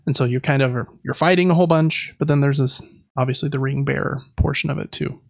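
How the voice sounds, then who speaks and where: Gravelly-edged man chatting on a podcast. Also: mid-range voice, steady tone, quick pace, no room sound, muffled recording. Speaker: podcast host. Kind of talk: casual podcast talk about board games.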